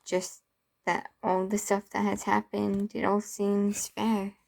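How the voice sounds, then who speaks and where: Woman as quiet, slow-spoken young man. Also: mid-range voice, monotone, creaky. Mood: quiet, reasoning.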